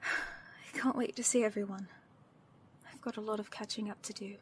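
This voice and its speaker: A woman's voice: mid-range voice, steady tone, normal speed, slight background noise, natural voice. A woman playing a loyal, spirited supervillain in an audio roleplay, speaking in a fond, playfully daring way. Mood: tender, nervous.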